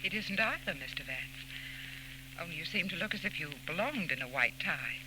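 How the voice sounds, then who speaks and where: A woman's voice: on the low side, expressive, moderate pace, lightly acted. An actress playing a poised, flirtatious, wealthy society woman with arch, bantering wit in 1940s radio drama style. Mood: coy, bantering. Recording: noisy old 1940s radio tape.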